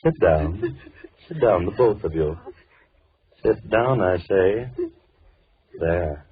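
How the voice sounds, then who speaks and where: Deep-voiced, overly polite madman. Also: lively intonation, husky, no echo, heavily acted. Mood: creepily calm, insistent. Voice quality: low-pitched. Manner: formal, measured, overly polite, precise diction. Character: softly menacing, lonely madman holding two women captive. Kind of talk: old-time American radio horror acting. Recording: muffled, thin vintage radio recording.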